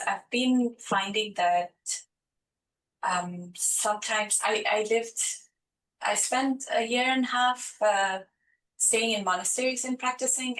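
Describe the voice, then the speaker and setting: A woman's voice: mid-range voice, fairly bright tone, poor audio. A woman from Nepal, asking a question on a video call, hesitant with many fillers and restarts. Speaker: first-time participant asking a question. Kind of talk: online dharma Q&A.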